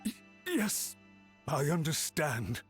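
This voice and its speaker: An actor voicing an anxious, obsequious official in a video game, pleading deferentially in a husky, breathy voice. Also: male voice, a little high, huge pitch swings, slow pace, heavily acted. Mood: cowed gratitude. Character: cowed, obsequious male official begging for mercy. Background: background music.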